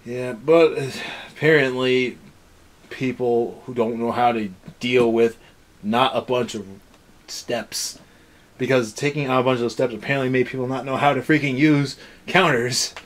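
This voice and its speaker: A man's voice: medium pitch, fairly even intonation, measured pace. A young man hosting a casual review chat, rambling along in an exasperated way. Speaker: review show host. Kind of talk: casual rant-style episode review chat.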